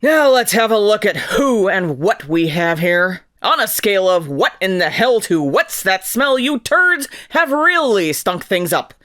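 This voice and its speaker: A woman playing a gruff, sarcastic female military captain in an audio drama, barking and mocking theatrically. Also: mid-range voice, sing-song, unhurried, hardly any pauses, a bit bright, no echo, studio quality.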